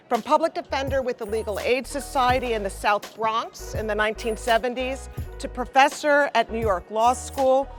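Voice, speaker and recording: A woman hosting a talk show, presenting in a scripted, formal introductory style. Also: a little high. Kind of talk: scripted introduction of panel guests.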